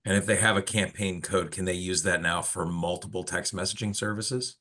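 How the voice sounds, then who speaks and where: A man's voice: low voice, fairly even intonation, a little fast, pushed and bright. A man hosting an informal business webinar, explaining things and putting questions to a colleague. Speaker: webinar host of a telecom company.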